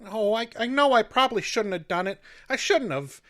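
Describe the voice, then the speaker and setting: A man's voice: high-pitched, lively intonation, moderate pace, a bit bright. An actor playing an anxious, simple-hearted handyman, folksy and repeating his phrase endings in radio drama style. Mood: pleading. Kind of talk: radio drama character acting.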